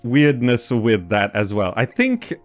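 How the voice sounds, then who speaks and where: A man with a mid-pitched voice gives casual game commentary. He rambles and thinks aloud like a host chatting to viewers, with faint music behind him. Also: wildly swooping pitch, slow. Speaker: gaming video host.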